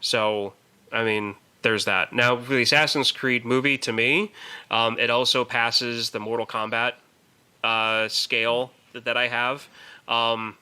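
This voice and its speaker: A man's voice: medium pitch, level tone, a little slow, pushed and bright, clean tone. A man co-hosting a podcast and casually discussing films, emphatic and opinionated, often repeating words as he works toward his point. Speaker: podcast co-host.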